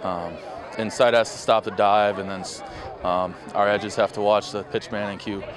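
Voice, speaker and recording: A young man, a college football player, answering postgame questions outdoors in a measured way with filler ums. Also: fairly low pitch, steady tone. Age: young adult. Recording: crowd chatter outdoors.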